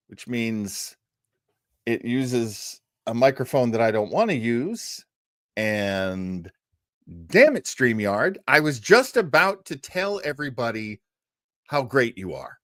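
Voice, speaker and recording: Slow-talking man with lively, wandering delivery. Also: mid-range voice, dry recording, clean studio sound. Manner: rambling, jokey, digressive. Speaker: host of an old-time radio show. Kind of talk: casual livestream chat and introduction.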